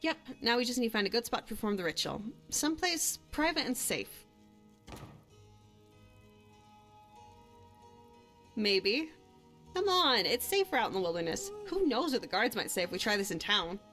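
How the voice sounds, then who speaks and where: A woman's voice: on the high side, very animated, fairly quick, sharp tone. An actress voicing a young woman adventurer and hunter, a guilt-haunted but bold companion in a fantasy game, speaking in a natural, conversational way. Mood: confident, reassuring. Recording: music under the voice.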